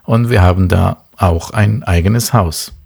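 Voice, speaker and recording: A man's voice: deep voice, a little slow, gravelly, a little mellow, slightly rough. A man from Israel, a tour guide, patiently explaining things to young interviewers.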